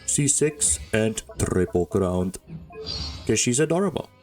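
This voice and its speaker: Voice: medium pitch, expressive, slow, darkish tone. A streamer talks through a game casually. The delivery is informal and rambling, with some music behind the voice. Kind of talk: casual gameplay commentary.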